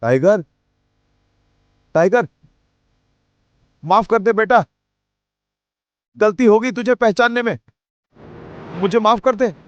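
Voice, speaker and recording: A man voicing a fearsome older mafia gang boss in an audio drama, with a booming, dramatic delivery. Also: slow pace, pauses often, dry recording, lo-fi sound. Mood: pleading.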